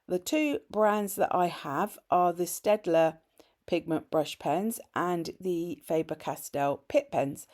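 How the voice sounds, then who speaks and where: A middle-aged woman with a slightly hoarse voice from a cold, narrating a craft tutorial voice-over in a chatty, explanatory way with small self-corrections. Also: fairly low pitch, darkish tone. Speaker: art journaling creator.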